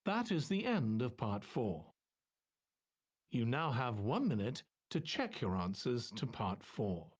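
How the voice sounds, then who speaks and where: A man's voice: mid-range voice, big pitch swings, slow, breathy edge, highs cut off. A man reading formal, scripted test instructions as an exam announcer.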